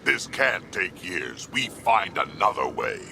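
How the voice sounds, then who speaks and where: Very deep, gravelly warrior. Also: male voice, sing-song, slow pace, bright, forceful tone, slight background noise, exaggerated voice. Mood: gruff resolve. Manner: blunt, terse. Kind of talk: video game cutscene acting.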